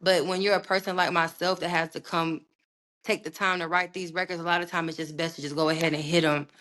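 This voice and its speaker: A young woman recording artist in a casual interview, talking in a slangy, animated way with plenty of swearing. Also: fairly low pitch, fast talker. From United States, raised partly in Puerto Rico.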